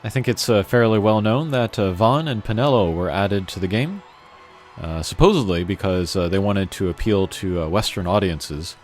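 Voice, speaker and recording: Low-voiced man giving lively, rambling game commentary. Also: normal speed. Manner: rambling, opinionated, informal. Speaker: video game streamer. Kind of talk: casual let's-play commentary. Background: faint game music.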